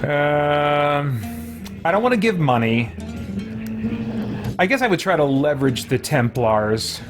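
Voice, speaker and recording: A man's voice: on the high side, very animated, takes it slowly. A man streaming a game, talking casually through his choices as he plays. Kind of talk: casual gameplay commentary. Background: game music.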